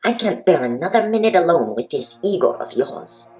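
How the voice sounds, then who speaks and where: Woman as a lowish, animated mystery lady. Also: moderate pace, soft background music. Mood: concerned. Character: genteel lady guest at a murder mystery mansion. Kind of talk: old-time radio mystery acting.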